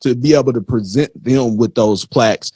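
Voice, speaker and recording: Mid-pitched man hosting, dry close sound. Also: moderate pace, mellow, slightly rough, no room sound. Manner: informal, slangy, thinking aloud in short repeated phrases. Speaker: interviewer, show host. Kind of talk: casual live-stream interview chat.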